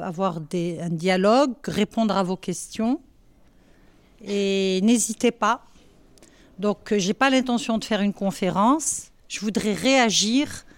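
Smooth-voiced woman in her sixties, from Algeria. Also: mid-range voice, no echo. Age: 60s. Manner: thoughtful, halting, with frequent pauses and fillers. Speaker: women's rights activist answering pupils' questions. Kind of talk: classroom question and answer. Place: school classroom.

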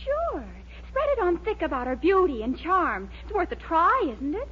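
Muffled old radio sound, sweet young American woman, very high pitch, animated intonation, earnest, encouraging. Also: normal speed. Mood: coaxing, practical. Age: young adult. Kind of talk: 1940s radio sitcom acting. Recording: dull old radio recording, faint hum.

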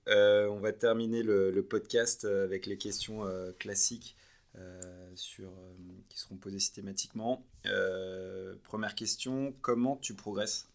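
Deep-voiced man hosting a podcast. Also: steady tone. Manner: halting questions, frequent short acknowledgments. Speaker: podcast host. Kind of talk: casual podcast interview.

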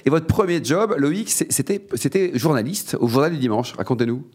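Brisk, fast-talking radio host, a man. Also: fairly high pitch, expressive, darkish tone. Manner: brisk, chatty, jumps in with quick comments. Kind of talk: radio interview.

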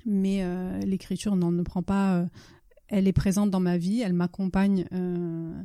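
A native Italian-speaking woman from the Naples region, talking casually as an interviewed author, halting and often rephrasing. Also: lowish voice, little pitch movement, warm tone, no echo, clean studio sound. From Naples region, Italy. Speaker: author and blogger being interviewed.

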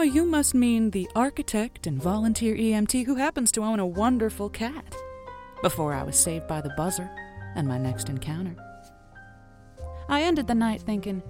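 A woman's voice: mid-range voice, darkish tone, lightly acted. A woman playing a wry, theatrical host of a lonely-hearts radio show in an audio drama, telling stories playfully. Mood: exasperated, animated. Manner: playful, wry, storytelling delivery. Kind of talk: semi-improvised audio drama monologue.

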